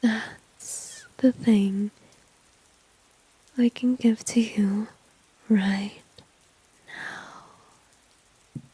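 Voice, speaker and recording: A woman plays a dominant, flirtatious villainess in an intimate ASMR roleplay. Her whisper is soft, mellow and very breathy, unhurried and smugly teasing. It sits close and dry on the microphone. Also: medium pitch, fairly even intonation, dry recording, exaggerated voice, rough recording. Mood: seductive, coaxing. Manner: slow, teasing, smug, intimate. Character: seductive, dominant female villain teasing a shy enemy.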